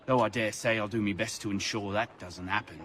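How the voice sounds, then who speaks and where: An actor playing a crude, sadistic working-class torturer in a video game, slow and taunting. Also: male voice, lowish voice, fairly even intonation, slight background noise, lightly acted. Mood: sadistic calm. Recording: loud music and sound effects behind. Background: loud game music.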